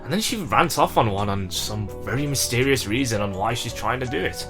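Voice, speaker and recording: A man's voice: medium pitch, lively intonation, fairly quick, music in the background. A man narrating a game review in a casual, comedic, chatty style with theatrical exasperation.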